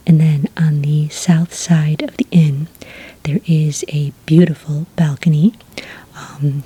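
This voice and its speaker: A woman doing a soft-spoken, whispered ASMR roleplay as a friendly witch at a hotel front desk, gentle and polite in a breathy voice. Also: low voice, low-quality recording. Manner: gentle, polite, customer-service phrasing.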